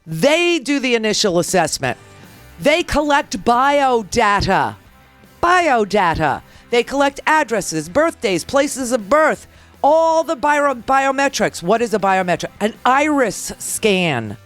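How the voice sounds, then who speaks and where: A woman's voice: on the high side, big pitch swings, slow pace, soft background music. Brash sarcastic woman radio host. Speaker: radio talk show host. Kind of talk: political talk radio monologue.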